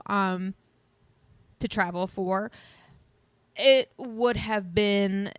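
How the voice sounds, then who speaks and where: A woman's voice: mid-range voice, a bit bright, clean tone, no room sound. A woman around thirty co-hosting a podcast, chatting casually and a bit sniffly, rambling with frequent laughs. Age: 30s. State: sniffly, congested. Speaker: podcast co-host. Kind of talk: casual chat.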